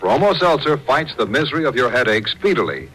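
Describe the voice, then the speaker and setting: Bright, animated male radio announcer. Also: medium pitch, fairly quick, breathy edge, low-quality recording. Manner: emphatic, folksy salesmanship. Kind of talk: 1940s radio sponsor pitch. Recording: old 1940s radio broadcast recording.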